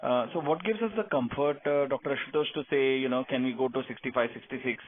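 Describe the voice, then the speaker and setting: A man's voice: medium pitch, fast talker. A man with an Indian accent, a financial analyst politely asking questions on an earnings call, with informal fillers. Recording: narrow phone conference line.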